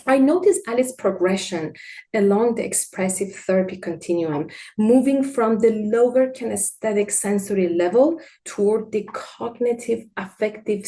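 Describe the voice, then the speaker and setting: A woman whose first language is Farsi, presenting a clinical case study as an art therapist in a measured, gentle way. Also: mid-range voice. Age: adult with a child. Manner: measured, gentle, partly read from notes.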